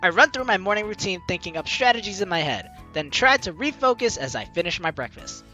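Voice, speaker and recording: A man's voice: sits high, lively intonation, brisk, bright, forceful tone. A man hosting a gaming video, reading game narration aloud and chatting casually with playful asides. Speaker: gaming video host, let's player. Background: game music.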